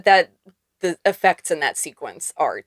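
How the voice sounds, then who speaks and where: A woman's voice: low voice, very animated, a little slow, dry recording, clean studio sound. A woman podcast host talking casually and effusively about film with friends, swearing freely.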